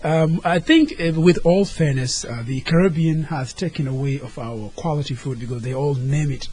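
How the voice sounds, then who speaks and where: A man's voice: fairly high pitch, moderate pace, darkish tone, breathy edge. A man from Senegal, a guest talking earnestly and sometimes searching for his words. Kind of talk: casual interview talk.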